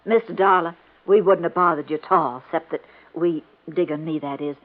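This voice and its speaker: A performer playing a timid, earnest, gentle young servant woman, hesitant and deferential, in a 1950s radio drama. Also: a little high, fairly even intonation, fairly quick, natural voice. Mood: shy, earnest. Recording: tinny, phone-like old radio recording.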